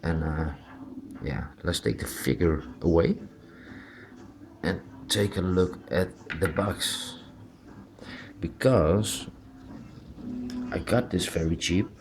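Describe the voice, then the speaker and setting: A man with a Dutch accent gives a casual, hands-on amateur review. His voice is very deep and his delivery is slow. He speaks informally and haltingly in English, which is not his native language. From Netherlands. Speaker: amateur collectible toy reviewer.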